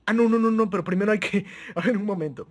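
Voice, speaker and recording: A man with a husky, somewhat high voice walks viewers through a gadget in a relaxed, chatty demo. He stumbles and restarts often, filling pauses with "eh", and breaks into small chuckles. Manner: hesitant, frequent restarts and "eh" fillers, addresses viewers as friends; chuckles often while talking. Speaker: radio gear reviewer. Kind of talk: casual product demo.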